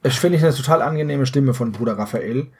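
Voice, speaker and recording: A man co-hosting a podcast, casually retelling a story in analytical detail and correcting himself as he goes, in a slightly husky voice. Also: mid-range voice, quick pace, a little hiss or hum. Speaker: podcast co-host.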